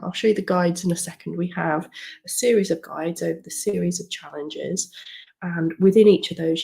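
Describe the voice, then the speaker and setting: Croaky woman with a cold, gravelly and low. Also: a little mellow, poor audio. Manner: chatty, with many fillers and restarts. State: has a cold, slightly croaky. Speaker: writing coach. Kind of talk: screen-share webinar walkthrough.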